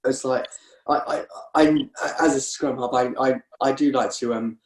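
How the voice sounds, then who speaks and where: A man talks about his game in a halting, filler-heavy way, often stumbling over his first words. His voice sits at an ordinary pitch and carries a noticeable roomy echo. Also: steady tone, slight background noise. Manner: hesitant, many fillers and restarts. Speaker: rugby scrum half. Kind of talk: casual podcast chat.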